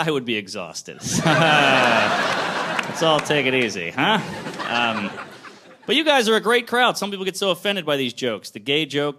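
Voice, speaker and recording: A man doing a stand-up set on a club stage, with a lively, self-mocking delivery. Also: mid-range voice. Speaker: stand-up comedian. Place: comedy club stage before laughing audience. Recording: loud crowd laughter and noise.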